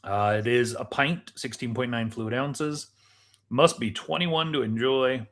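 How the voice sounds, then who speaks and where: A man's voice: mid-range voice, unhurried, fairly bright tone. A man co-hosting a show in casual chat as he tries snacks and drinks.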